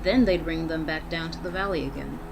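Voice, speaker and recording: A woman narrating a scary story aloud in a theatrical way, with deliberate dramatic pauses. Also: deep voice, loud music behind the voice. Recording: clearly noisy background.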